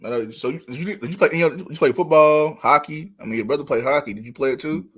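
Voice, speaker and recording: A man hosting a casual podcast interview, speaking in informal slang with halting restarts. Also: a little high, fairly bright tone, husky. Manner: informal slang, halting restarts, "you know what I'm saying". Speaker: podcast host.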